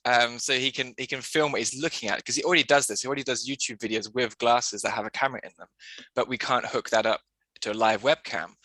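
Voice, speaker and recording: A man talks on a headset in a loose, rambling way, often restarting his sentences as he hosts a casual podcast. His voice has a bright, forward edge. The sound is close and dry, with no room around it. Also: mid-range voice, fairly even intonation, fast, no room sound, poor audio. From English-speaking country. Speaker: podcast host and English tutor. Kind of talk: casual video call chat.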